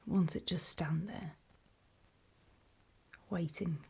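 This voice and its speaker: A woman playing a weary, wary zombie apocalypse survivor in a halting, breathy whispered ASMR horror roleplay. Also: lowish voice, steady tone, darkish tone, heavily acted. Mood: grim, hushed. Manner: halting, hesitant, slow and trailing off.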